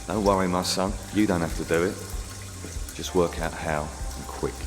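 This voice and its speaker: An actor playing a menacing, cold-blooded gang boss in a radio play, unhurried and dryly threatening. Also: male voice, deep voice, big-room sound, lightly acted. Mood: cold menace. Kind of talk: British comedy radio play acting.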